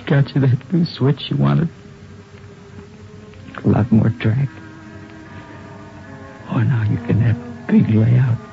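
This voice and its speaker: An actor playing a grieving, quietly determined father in a 1950s radio drama, halting and plaintive in his delivery. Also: medium pitch, gravelly. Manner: halting, plaintive, speaking simply to a child. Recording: muffled old radio recording, faint music.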